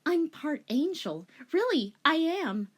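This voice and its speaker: A woman's voice: high-pitched, sing-song, slow pace, pitched above the performer's own voice, full character voice. A woman voicing a boastful, flirty female bending tutor in a gushing, dramatic manner. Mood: chummy.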